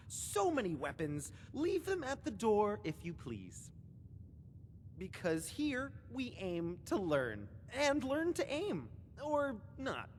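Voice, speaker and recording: A woman's voice: medium pitch, long reverb tail, slight background noise. A woman playing an eccentric, scatterbrained, book-obsessed librarian in a video game, rambling in sing-song rhymes and wordplay. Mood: welcoming, dotty. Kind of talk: theatrical video game character acting.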